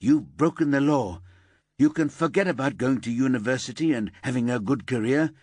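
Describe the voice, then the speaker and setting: A man's voice: fairly high pitch, expressive, slow pace, darkish tone, slightly rough, dry recording, pitched above the performer's own voice, exaggerated voice. A man voicing a harsh female police detective who speaks sternly. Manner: stern and cutting.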